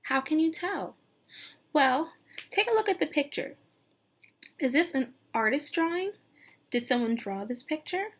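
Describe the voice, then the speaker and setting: High-pitched, slow-paced woman teacher reading aloud. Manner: expressive, sing-song, with questions and pauses. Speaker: teacher reading a picture book aloud to young children. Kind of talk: read-aloud lesson with questions to young listeners.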